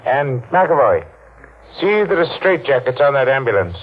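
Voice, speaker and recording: A man's voice: mid-range voice, sing-song, moderate pace, close to an everyday voice. A man playing a brusque police captain. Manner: brusque, matter-of-fact questioning. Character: gruff tough police captain. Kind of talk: 1940s radio acting. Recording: tinny old radio broadcast with hiss.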